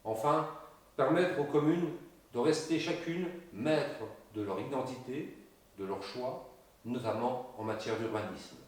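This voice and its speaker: A man's voice: mid-range voice, measured pace. Man in a very echoey room, formal. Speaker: local election candidate presenting the list's programme. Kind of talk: prepared campaign presentation. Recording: very echoey room, distant microphone.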